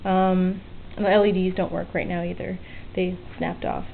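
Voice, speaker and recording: A woman's voice: lowish voice, flat delivery, moderate pace, creaky. A woman giving an informal, self-deprecating, unscripted show-and-tell of a hobby project, a little sweary. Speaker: hobbyist vlogger showing an electronics project. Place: small home work area by an open window. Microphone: handheld camera's built-in mic. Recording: tinny, band-limited camera audio with hiss. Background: faint outdoor weather ambience.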